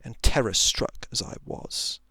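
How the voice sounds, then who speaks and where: A man reading a thriller short story as ASMR, in a soft, hushed, often whispered voice with dramatic, drawn-out phrasing. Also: very noisy. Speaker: narrator.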